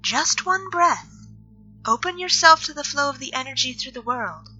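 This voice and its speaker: A woman's voice: sits high, animated, normal speed, bright, forceful tone, exaggerated voice. A woman playing a serious, guarded elf nature mage in an audio drama, speaking in earnest, precise wording. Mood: gently insistent. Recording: slightly dull audio, music underneath. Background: music.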